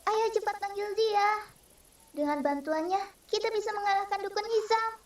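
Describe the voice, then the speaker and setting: A woman's voice: very high voice, fairly even intonation, normal speed. A woman voicing an earnest female spirit plotting to free her kind in a comic dub, recorded in a roomy space. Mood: earnest, reassuring. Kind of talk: Indonesian comic dub acting. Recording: roomy, distant microphone.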